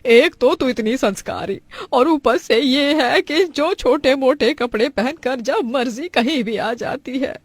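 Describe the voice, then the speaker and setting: Woman acting disapproving Indian mother-in-law, higher-pitched, complaining, theatrical exclamations, cartoon drama. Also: measured pace, hardly any pauses, dry recording. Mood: scolding, exasperated. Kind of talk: Hindi cartoon family drama dubbing.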